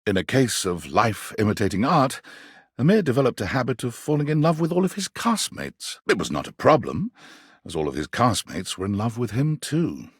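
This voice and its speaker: A grand, wry storyteller narrating a video game. His voice is husky and on the low side, rising and falling dramatically with theatrical, measured phrasing. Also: no echo, studio quality, exaggerated voice. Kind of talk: dramatic scripted second-person narration.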